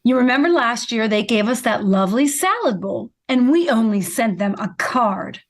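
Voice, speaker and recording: A woman playing a patient, practical middle-aged mother, gently insistent, in vintage radio sitcom style. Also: fairly high pitch, lively intonation, moderate pace.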